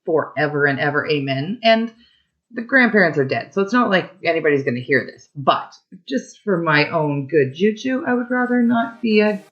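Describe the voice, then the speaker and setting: A woman's voice: low-pitched, expressive, moderate pace. A woman podcast guest telling personal stories conversationally, in a candid, emphatic way with colourful colloquial phrasing, on slightly dull audio.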